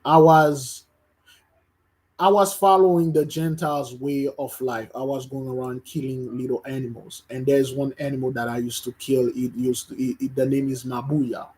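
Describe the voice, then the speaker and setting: A man delivers an informal online sermon at a mid-range pitch. He presses his points hard, repeats whole phrases for weight, and trips over words before starting again. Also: steady tone, moderate pace, darkish tone, low-quality recording. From Haiti. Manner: emphatic, repeats phrases, stumbles and restarts. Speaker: religious teacher.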